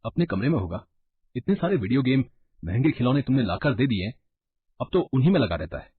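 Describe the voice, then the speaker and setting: A man voicing a cautious, worried husband and father in a cartoon moral story, with a husky voice. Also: medium pitch, sing-song, fast talker. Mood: concerned. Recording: muffled, narrow phone-like band.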